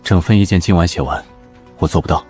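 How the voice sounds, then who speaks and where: A man playing a principled, calm young lawyer in an animated drama, measured and plain-spoken, firm but considerate. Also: low voice, soft background music, close to an everyday voice.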